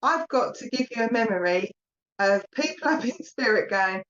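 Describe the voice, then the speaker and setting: A woman's voice: fairly high pitch, lively intonation, normal speed, a bit bright. Chatty Essex woman medium, lo-fi sound. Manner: chatty and rambling, calls viewers "my lovely". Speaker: psychic medium. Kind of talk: live online mediumship reading.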